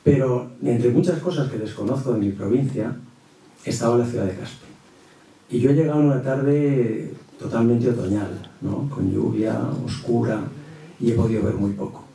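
Echoey, mellow middle-aged Castilian man lecturing. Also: mid-range voice, moderate pace, creaky. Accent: Castilian Spanish. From Zaragoza, Spain. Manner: conversational, frequent tag questions. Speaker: university professor, guest lecturer. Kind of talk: informal opening of a public lecture. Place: lecture room at a table. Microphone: gooseneck table mic, distant pickup. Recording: echoey hall, distant microphone.

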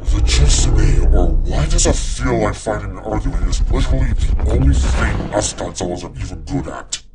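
A man's voice: fairly low pitch, animated, normal speed, creaky, very noisy. A man voicing a bossy, exasperated giant monster king in a cartoon comic dub, with a sarcastic manner. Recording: loud music over the voice.

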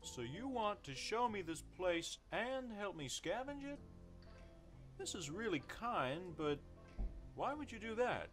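Lilting, polite guinea pig. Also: male voice, high-pitched, sing-song, measured pace, soft background music, exaggerated voice. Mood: grateful, unsure. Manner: gentle, hesitant, courteous. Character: polite, naive, kindly male guinea pig, trusting. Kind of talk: cartoon adventure game voice acting.

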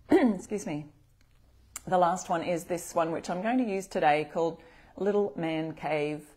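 A middle-aged Australian woman hosting a live craft tutorial, chatting with viewers and greeting them by name from a table in a bright room. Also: on the low side, a little slow. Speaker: craft demo host.

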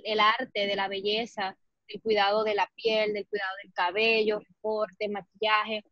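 A young Dominican woman with a Cibao lilt speaks in a fairly high voice, earnest and reflective, often pausing with fillers. Her voice comes through a muffled video-call mic, close and dry with no room around it. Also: fairly bright tone, no echo. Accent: Dominican Spanish, Cibao. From Dominican Republic. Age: 20s. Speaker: guest, fitness model. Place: small room indoors. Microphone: laptop or phone mic on video call.

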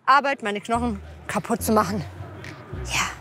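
A woman's voice: a little high, normal speed, crowd noise. A woman in her twenties answering interview questions in her apartment, blunt and offhand. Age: 20s. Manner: blunt, offhand, matter-of-fact. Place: small apartment indoors.

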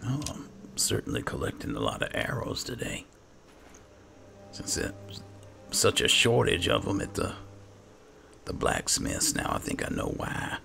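Very deep, gravelly man, slow talker. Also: wildly swooping pitch, pushed and bright, a little hiss or hum. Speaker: gamer narrating in character as he plays. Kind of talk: roleplay video game commentary. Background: game music.

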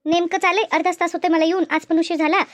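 A woman's voice: very high-pitched, little pitch movement, quick pace, no room sound. A woman voicing an anxious, deferential young working daughter-in-law in a cartoon family drama, speaking colloquially. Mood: hurried, flustered.

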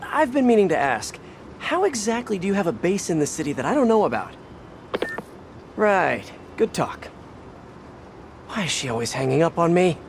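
An actor playing a young, upbeat, self-deprecating superhero who chats and quips in a video game. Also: male voice, high-pitched, very animated, moderate pace, vocal fry, long pauses, a little hiss or hum, heavily acted. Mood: musing, exasperated. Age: young adult. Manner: chatty, quippy.

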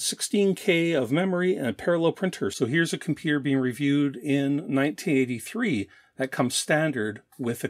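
A middle-aged man giving an informal show-and-tell narration as a host, explaining things in a measured way. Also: medium pitch, no room sound. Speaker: host of a retro computing show.

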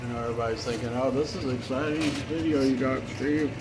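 A man working as a plumber talks through a clogged drain job in a level, unhurried voice that hardly rises or falls. He pauses on fillers as he explains. The noise of running water and machinery fills the basement around him. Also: mid-range voice. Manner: relaxed, explanatory, trails off with fillers. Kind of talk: casual how-to commentary while working. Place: basement utility area, indoors.

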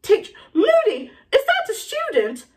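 A woman's voice: animated, moderate pace, distant mic. A woman voicing a proper female professor from a storybook, flustered, indignant and scandalised. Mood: shocked. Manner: high, breathless, scandalised.

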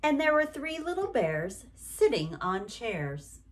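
Slow, melodic woman reading a bedtime story. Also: a little high, very animated. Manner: gentle, deliberate bedtime delivery with pauses. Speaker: bedtime story reader and host. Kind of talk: reading a picture book aloud to young children. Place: small bright room at home, indoors.

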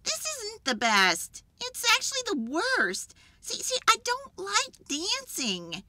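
A woman's voice: extremely high pitch, wildly swooping pitch, unhurried, pushed and bright, no room sound, voice pushed up, exaggerated voice. A woman voicing a blunt, whiny, reluctant male animal in a children's storybook. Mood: exasperated. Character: reluctant, outspoken grumpy male party animal.

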